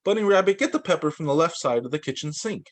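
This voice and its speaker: A man's voice: fairly high pitch, lively intonation, quick pace, close to an everyday voice. Man as a snarling, grumpy, scruffy varmint. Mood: bossy. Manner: snarling, barking orders, sarcastic. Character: scruffy, grumpy, nasty-tempered male varmint creature.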